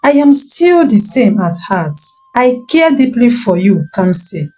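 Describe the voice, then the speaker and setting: A woman voicing a young cursed snake bride who speaks softly and pleadingly. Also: on the high side, sing-song, unhurried, faint music behind. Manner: soft, pleading.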